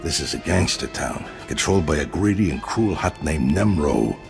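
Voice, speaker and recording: An actor playing a weary, streetwise ex-gangster who talks colloquially in a video game cutscene. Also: male voice, very deep voice, lively intonation, normal speed, gravelly, over music, exaggerated voice. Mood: urgent, grim. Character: weary ex-gangster man, cautious streetwise older brother.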